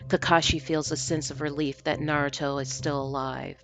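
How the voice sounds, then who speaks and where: Low-voiced woman narrating dramatically. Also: level tone, normal speed. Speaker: storyteller reading fanfiction.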